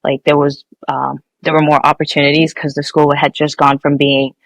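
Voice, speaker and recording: A woman's voice: deep voice, flat delivery, moderate pace, no room sound. A young woman from Nepal, a guest engineer, answering interview questions casually with many fillers and restarts.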